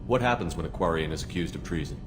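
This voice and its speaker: Low-pitched, steady male commander. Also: quick pace, long reverb tail. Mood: concerned, supportive. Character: steady, commanding human military commander, supportive and decisive. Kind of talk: video game voice acting. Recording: game audio with music and rumble behind.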